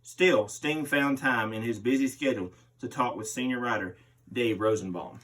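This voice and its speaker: A man reads a magazine interview aloud, taking the part of the person being interviewed. His voice is of middling pitch, and he sits a little way off from the microphone. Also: normal speed, fairly bright tone, slight background noise. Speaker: host reading aloud. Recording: distant microphone.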